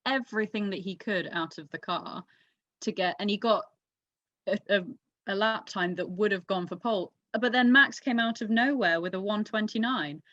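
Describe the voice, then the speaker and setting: A woman's voice: medium pitch, normal speed, a bit bright, no room sound. Slightly muffled woman debating sport. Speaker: podcast panellist. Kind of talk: opinionated sports discussion.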